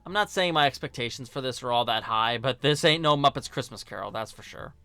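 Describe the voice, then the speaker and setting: A man's voice: mid-range voice, expressive, fairly quick, pushed and bright, clear, resonant tone, no room sound, soft background music. A man narrating a scripted film review in a casual, joking, sarcastic and colloquial way. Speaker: video host and film reviewer.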